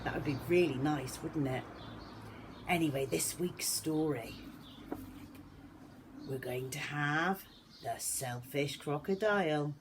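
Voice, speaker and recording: A woman reading a storybook aloud to young children as a teacher, in a deliberate, expressive manner. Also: deep voice, noisy background.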